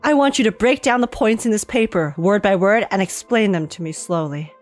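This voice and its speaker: A woman's voice: mid-range voice, a little fast, faint music behind, close to an everyday voice. A woman voicing a stern, commanding male professor with a curt, imperious manner in a dramatic story read-aloud.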